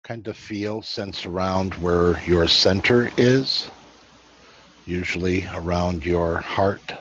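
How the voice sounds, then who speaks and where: Deep, husky man leading guided meditation. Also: fairly bright tone. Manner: slow, soothing instruction with long pauses. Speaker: host and guided meditation leader. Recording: dull, lo-fi, roomy sound.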